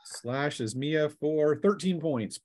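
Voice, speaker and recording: A man's voice: on the high side, slow pace, darkish tone, no room sound, studio quality. A man acting as game master, narrating dice combat in an informal, conversational way. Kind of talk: running a tabletop role-playing game.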